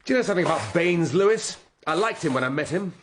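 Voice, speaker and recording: An actor playing a brooding, cultured middle-aged English police inspector in a radio drama, wry and musing in manner, with a husky voice. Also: male voice, fairly high pitch, lively intonation, quick pace, a little hiss or hum, lightly acted. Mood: brisk, reflective. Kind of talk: naturalistic radio drama acting.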